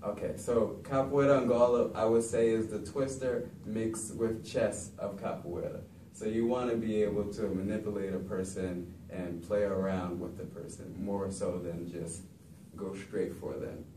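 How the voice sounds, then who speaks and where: A young man teaching about capoeira in a relaxed, conversational way, recorded from across a classroom. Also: lowish voice, normal speed, hardly any pauses. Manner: relaxed, conversational, frequent "you know". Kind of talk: informal classroom talk. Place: large classroom, indoors. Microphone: far camera. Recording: echoey room, distant mic, background noise.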